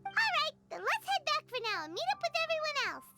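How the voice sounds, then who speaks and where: Paimon, a squeaky tiny chirpy fairy. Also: female voice, big pitch swings, fast talker, full character voice. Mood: cheeky. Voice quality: squeaky, childlike. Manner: refers to self in third person, exclamatory. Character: Paimon, tiny childlike floating fairy companion, chirpy and blunt. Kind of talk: animated game cutscene acting. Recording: loud music behind the voice.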